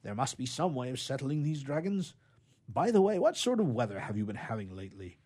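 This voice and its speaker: A man's voice: medium pitch, very animated, a little fast, darkish tone, pitched above the performer's own voice. A man voicing a sleepy, apologetic old champion knight in a rambling storybook manner. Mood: weary, regretful. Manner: drowsy, rambling.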